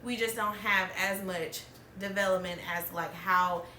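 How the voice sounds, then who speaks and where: Woman in her thirties, bright and chatty, recorded in a roomy space off-mic. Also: mid-range voice, steady tone, a little slow, recorded from a distance, a little hiss or hum. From Alabama, US. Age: 30s. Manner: chatty, laughs often, trailing restarts. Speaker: podcast host. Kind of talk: candid storytelling chat.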